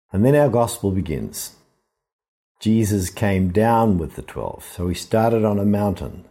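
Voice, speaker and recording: Male priest, scripture reflection, husky voice, very slow pace, deliberate explanatory delivery. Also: low voice, warm tone. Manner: measured, deliberate, explanatory with emphatic pauses. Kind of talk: sermon, reflective scripture commentary.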